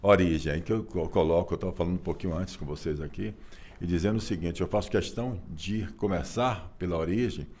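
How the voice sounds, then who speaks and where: A Brazilian man, telling his family story in a relaxed interview, folksy and repetitive with frequent rhetorical tags. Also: deep voice, brisk. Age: 60s. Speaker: interview guest, author and businessman.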